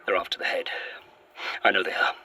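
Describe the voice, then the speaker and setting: A man's voice: brisk, sharp tone, whispered. A man playing a bitter, paranoid monster keeper in a horror audio drama, tense and accusatory, on a noisy outdoor recording. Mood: resentful, paranoid. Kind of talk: found-footage horror audio drama acting.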